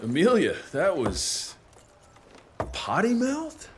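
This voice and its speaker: An actor playing a tough, wry American cowboy monster-hunter in a Western video game, slangy and laconic. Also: male voice, high-pitched, huge pitch swings, slow, background noise, full character voice.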